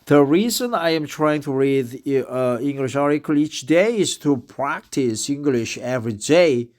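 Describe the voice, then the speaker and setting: A man in his fifties from South Korea, speaking English with a Korean accent as a second language, a little drunk, talking in a halting way with many fillers and restarts. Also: medium pitch, animated, a little mellow. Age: 50s. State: tipsy. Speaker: office worker practicing English. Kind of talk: casual monologue, reading aloud.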